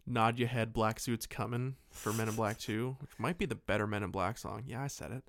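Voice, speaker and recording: A man co-hosting a podcast, chatting casually about movies and often pausing to search for words. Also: fairly low pitch, steady tone. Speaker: podcast co-host.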